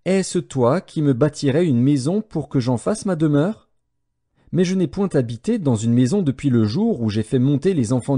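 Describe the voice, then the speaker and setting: A man narrating scripture as an audiobook, reading from a script in a measured, solemn manner with clearly separated phrases. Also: medium pitch, mellow.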